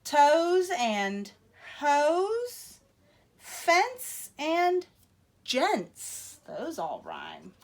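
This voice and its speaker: A woman reading a picture book aloud to children in an expressive, teacherly way, with asides to young listeners. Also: sits high, drawn-out words.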